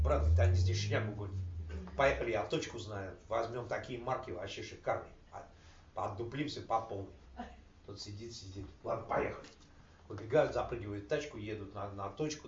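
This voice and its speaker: A man speaking casually to a recovery group, telling jokes and using slangy, coarse words. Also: fairly low pitch. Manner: animated, laughing, slangy and coarse. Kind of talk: casual joking talk to a group. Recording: roomy, distant, dull with faint noise.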